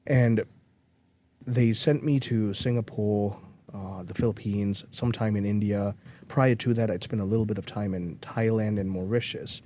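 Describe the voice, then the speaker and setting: Man, mellow tone, earnest and emphatic, podcast guest. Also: lowish voice, fairly even intonation, moderate pace. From Malaysia. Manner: earnest and emphatic, with frequent restarts. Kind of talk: conversational interview.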